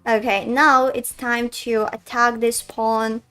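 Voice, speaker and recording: A woman's voice: a little high, flat delivery, slow, bright, forceful tone, faint music behind. A woman from Russia with a Russian accent, commentating her own chess games live and chatting to viewers as she thinks aloud. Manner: chatty, addresses chat as guys, thinks aloud. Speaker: chess streamer. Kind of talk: live-stream commentary while playing.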